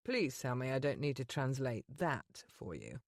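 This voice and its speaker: A woman voicing a blunt, arrogant young gentleman in a lowered, cool and clipped voice for an audiobook. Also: very animated, measured pace, no room sound, studio quality, full character voice. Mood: dry.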